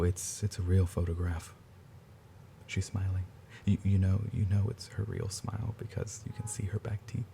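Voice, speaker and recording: A guarded, grieving brother, played by a man, with a very deep, gravelly voice. He speaks quietly and haltingly, often stumbling and restarting, with a faint hiss behind him.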